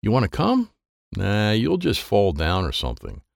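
A man's voice: fairly low pitch, huge pitch swings, normal speed, vocal fry, dry recording, heavily acted. Guy doing a pushy kid girl in an audiobook, stubborn, really up and down, dry sound. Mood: dismissive. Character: teenage girl, stubborn, bossy, sporty older cousin. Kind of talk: children's audiobook character dialogue.

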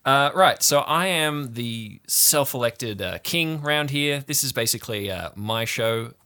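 A man's voice: medium pitch, expressive, a little slow, pushed and bright, clean tone, pitched above the performer's own voice. A man voicing a laid-back fifteen-year-old boy who runs a camp cult, with slangy, self-important dude talk, in a tabletop game. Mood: breezy, self-important.

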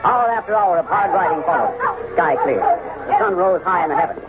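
Brisk dramatic old radio narrator. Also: male voice, high-pitched, animated, over loud music. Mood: measured, dramatic. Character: urgent dramatic western storyteller. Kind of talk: dramatic 1930s-40s radio western narration. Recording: noisy, muffled old radio broadcast.

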